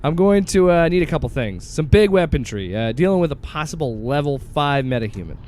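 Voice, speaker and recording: An actor playing a gruff, determined agency director in an audio drama, talking bluntly and casually with some hesitant word-searching. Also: male voice, a little high, sing-song, a little fast, darkish tone, a little hiss or hum. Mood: earnest.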